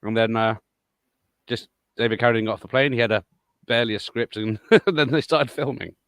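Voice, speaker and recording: A man's voice: medium pitch, fairly quick, a bit bright, no room sound, studio quality. A man chatting casually over a movie, giggling often as he tells behind-the-scenes stories. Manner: chatty, giggles a lot, tells film trivia. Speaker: co-host of a movie watch party. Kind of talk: casual commentary chat.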